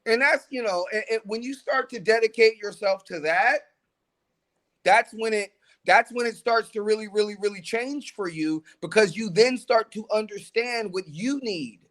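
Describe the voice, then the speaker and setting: A man in his forties speaks with a noticeably high voice, pressing his points in an emphatic, motivational way. He is a coach chatting on a podcast. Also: normal speed, fairly bright tone. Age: 40s. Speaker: track coach and trainer. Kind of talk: casual podcast conversation.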